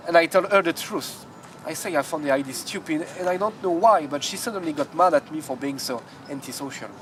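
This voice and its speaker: A man's voice: fairly high pitch, a little hiss or hum, lightly acted, low-quality recording. A man, playing a young male law student in a mock news interview who hesitates and corrects himself. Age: 20s.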